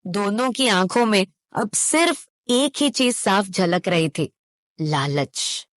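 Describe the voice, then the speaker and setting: A woman's voice: medium pitch, lively intonation, slow, no echo, clean studio sound. A woman narrating a cartoon moral tale in a scripted storytelling style.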